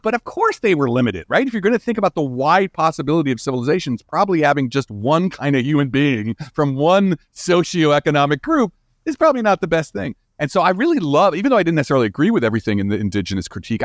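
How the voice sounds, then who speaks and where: A man's voice: on the high side, no room sound, clean studio sound. A man speaking as a guest scientist in a conversational interview, enthusiastic and emphatic, often tagging his points with "right?".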